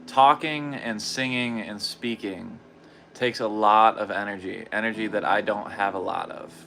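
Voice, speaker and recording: A man talking slowly and haltingly during a livestream, his voice hoarse and tired, with pauses and repeated phrases. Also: fairly low pitch, a little hiss or hum. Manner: soft-spoken, halting, with long pauses and repetitions. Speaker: guitarist livestreaming practice. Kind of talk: slow reflective personal talk.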